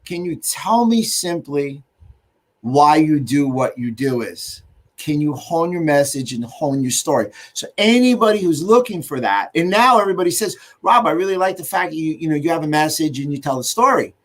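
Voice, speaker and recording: A man's voice: a little high, animated, moderate pace, a bit bright. An American man hosting a casual interview, telling anecdotes in an easy conversational way. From United States. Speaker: host.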